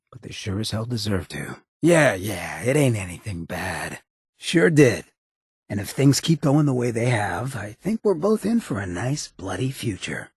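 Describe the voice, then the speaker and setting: An actor playing a roguish ghoul mayor in a video game, a swaggering former drifter with a very raspy, gravelly voice and a cocky, streetwise drawl. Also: male voice, fairly low pitch, no echo, clean studio sound, heavily acted. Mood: defiant. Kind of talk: video game companion dialogue.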